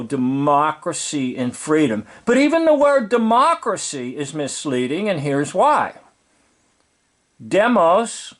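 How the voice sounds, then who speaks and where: A man with a noticeably high voice delivers informal commentary at a slow pace. He explains things in a preachy, emphatic way, circling back to repeat key words. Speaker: video commentator.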